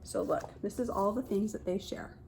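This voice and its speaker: A woman reads a picture book aloud in the manner of a kindergarten teacher, at a middle pitch. She breaks off often to explain things and point them out in simple words for small children. There is some noise behind her voice in what sounds like a homemade recording. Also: expressive, moderate pace, vocal fry, a little mellow, low-quality recording.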